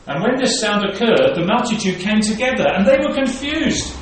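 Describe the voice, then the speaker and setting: A male preacher reads scripture and then expounds on it in a fairly high voice. He is recorded from some distance in a large hall, and his words ring out with heavy echo. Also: big pitch swings, moderate pace. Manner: expository Bible teaching, reading scripture aloud then explaining. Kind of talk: sermon. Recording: very echoey room, voice from a distance.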